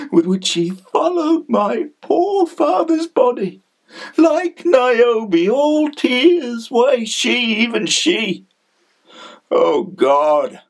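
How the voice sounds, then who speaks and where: An actor with a New Zealand accent playing a grieving, bitter young prince in a theatrical Shakespearean monologue, halting and breathy. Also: male voice, on the high side, exaggerated voice. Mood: outraged grief. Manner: slow, halting, anguished delivery with weighted pauses.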